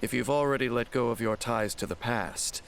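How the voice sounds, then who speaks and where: A man playing a grave, guarded wandering warrior in an anime game, speaking in a formal, measured, solemn way. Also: mid-range voice, slight background noise, lightly acted. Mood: solemn, cryptic.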